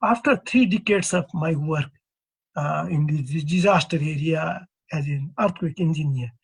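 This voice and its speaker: Husky Nepali-accented man presenting. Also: on the high side, poor audio. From East Nepal. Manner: measured, earnest, poses questions to the audience. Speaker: earthquake engineer presenting at a convention. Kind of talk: prepared conference presentation.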